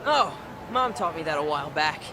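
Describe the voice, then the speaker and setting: A man's voice: fairly high pitch, expressive, moderate pace, background noise, natural voice. An actor voicing a hot-headed, mouthy boy with a brash, slangy manner in a game dub. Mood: upbeat. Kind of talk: English dub game cutscene acting.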